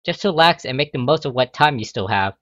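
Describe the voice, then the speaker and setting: Unicorn mother, voiced by a male reader: calm, cooing, reassuring, for a cartoon pony story. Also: mid-range voice, brisk, dry recording, highs cut off, natural voice. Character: doting, calm unicorn mother and school official.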